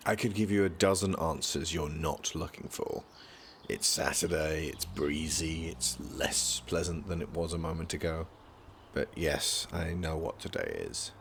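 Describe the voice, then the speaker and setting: An actor playing a dry, sardonic young prince in an audio drama, speaking formally with deadpan wit. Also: male voice, extremely low pitch, unhurried, creaky, slight background noise, exaggerated voice. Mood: dry, teasing.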